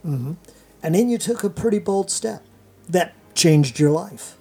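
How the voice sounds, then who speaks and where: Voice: mid-range voice, lively intonation, a little slow, dark tone, breathy edge. A host interviewing a guest, guiding the talk with brief prompts and short replies. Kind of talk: casual interview.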